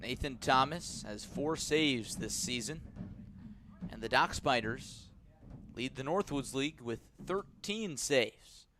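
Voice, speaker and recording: A man announces a baseball game for radio in a mid-range voice. He alternates measured narration with punchy calls of each pitch and play. Music is clearly audible behind him. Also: animated. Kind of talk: live radio sports commentary.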